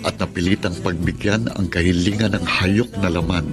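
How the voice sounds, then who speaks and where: A man's voice: deep voice, fairly even intonation, moderate pace, music in the background, natural voice. A man playing a lovelorn secret admirer who narrates a letter in a florid, sorrowful radio-melodrama style. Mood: bitter, grieving.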